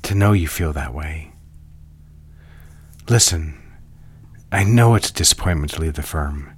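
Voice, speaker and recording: An actor playing a calm, commanding married boss in an audio drama. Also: male voice, very deep voice, measured pace, husky, exaggerated voice. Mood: controlling. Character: controlling married boss, man, middle-aged. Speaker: lawyer boss.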